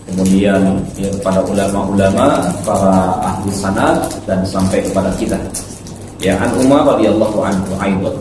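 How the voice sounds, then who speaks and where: A man's voice: deep voice, steady tone, normal speed. A man giving an instructive Islamic lecture to a congregation as a preacher, often checking in with short tag words. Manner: didactic, with frequent "ya" tags and check questions. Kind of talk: religious lecture explaining hadith to a congregation. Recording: very echoey hall, distant mic, faint noise.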